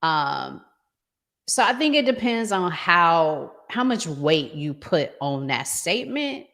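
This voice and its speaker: An American woman hosting a podcast, discussing in a casual but measured, reflective way. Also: on the low side, big pitch swings, a bit bright. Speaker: podcast host.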